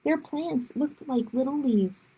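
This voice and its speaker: A woman's voice: high voice, slow pace, dark tone, rough recording. A woman reading a children's story aloud expressively, with short explanatory asides to young listeners.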